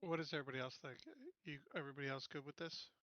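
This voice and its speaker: A man's voice: mid-range voice, fairly even intonation, rapid-fire, sharp tone, dry recording, lo-fi sound. A man chatting casually as a tabletop game player, reasoning aloud in an informal way.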